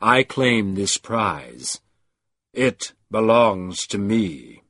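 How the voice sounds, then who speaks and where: A man voicing an unseen, deep and resonant presence that speaks slowly and menacingly. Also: big pitch swings, fairly bright tone, dry recording, clean studio sound, exaggerated voice. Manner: slow, menacing, commanding. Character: deep deadly unseen male voice, commanding.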